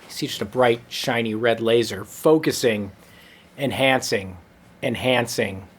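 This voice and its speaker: A man reading a comic story to listeners with dramatic, drawn-out noir-style narration. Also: mid-range voice. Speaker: comedy storyteller.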